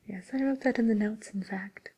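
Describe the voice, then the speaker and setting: A woman playing a genteel, businesslike matchmaker for villains in a whispered ASMR role play, murmuring politely and hesitantly in a breathy voice. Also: medium pitch, normal speed, mellow. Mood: gently amused.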